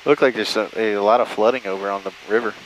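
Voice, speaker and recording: A man's voice: lowish voice, fairly even intonation, fast. A man working as an airline pilot, giving clipped procedural callouts and radio readbacks in a noisy cockpit. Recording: noisy airplane cockpit with engine drone.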